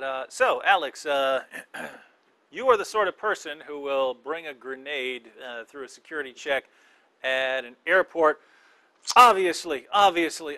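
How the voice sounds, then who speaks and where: A man hosting an interview show, teasing his guest and halting often over his words. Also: on the high side, bright, forceful tone, no room sound. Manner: joking, halting, restarts often. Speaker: host.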